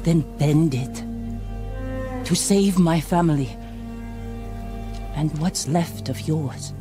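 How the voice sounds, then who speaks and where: A woman's voice: low-pitched, takes it slowly, music in the background. An actress playing a tough, pragmatic female survivor in a video game, blunt and intense but restrained. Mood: pleading resolve. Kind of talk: dramatic video game acting.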